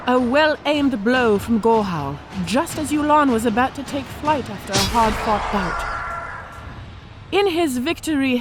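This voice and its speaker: A battle-scarred orc woman with a high, lively voice that rises and dips as she spins war tales. She speaks with theatrical, measured weight in a fantasy audio drama, over faint music. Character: battle-scarred female orc veteran officer, worldly storyteller. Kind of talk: audio drama character acting.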